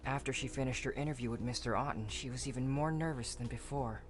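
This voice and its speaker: A woman's voice: a bass voice, fast. A woman voicing a timid, observant youngster in a visual novel, with a hesitant, earnest manner. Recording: prominent music behind the voice.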